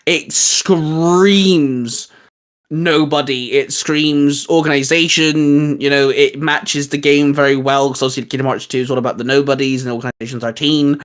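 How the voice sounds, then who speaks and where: A man's voice: a little high, steady tone, moderate pace. A man co-hosting a casual geek chat, talking in a hesitant, rambling, informal way with bits of slang. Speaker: co-host of a geek culture show. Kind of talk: casual chat, reviewing video game designs.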